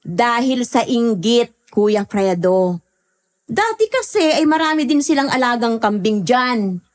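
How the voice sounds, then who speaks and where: A high-pitched, feisty country woman played by an actress in a rural horror audio drama. She talks expressively, like a chatty villager who speaks her mind. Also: big pitch swings, a little slow. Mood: explaining.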